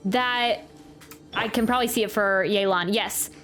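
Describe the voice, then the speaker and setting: A woman's voice: mid-range voice, steady tone, moderate pace, a bit bright. A young woman chatting playfully and expressively as she reacts to a video game. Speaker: reaction video host, gamer. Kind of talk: casual gameplay reaction, some reading aloud of dialogue. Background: game music.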